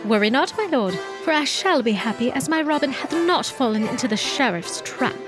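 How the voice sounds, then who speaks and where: Woman acting a high-voiced, earnest medieval maiden. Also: expressive, normal speed, over music. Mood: defiant. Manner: earnest, melodramatic. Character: defiant, devoted young maiden of medieval England. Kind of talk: audio drama acting in archaic English.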